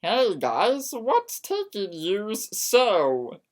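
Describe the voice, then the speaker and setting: A woman's voice: mid-range voice, wildly swooping pitch, very slow, pitched above the performer's own voice, exaggerated voice. A woman voicing an easygoing young man, an apologetic and defensive boyfriend, in a dramatic fan fiction reading. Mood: casual, amused.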